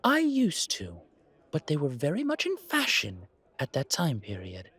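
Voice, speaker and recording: A man's voice: a little high, huge pitch swings, a little slow, fairly bright tone, husky, dry recording, exaggerated voice. A man voicing a refined, haughty old vampire who speaks in a formal, courtly, theatrical way. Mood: haughty, incredulous.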